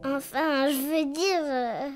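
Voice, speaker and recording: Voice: high-pitched, slow pace. A performer voicing an eager, kind little boy bear cub in a preschool cartoon, earnest and childlike. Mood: hesitant, earnest. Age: child character. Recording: music behind the voice.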